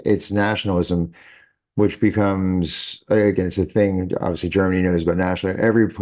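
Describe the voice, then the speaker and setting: Man, low-pitched, podcast host, emphatic, thinking aloud. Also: a little mellow. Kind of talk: podcast conversation.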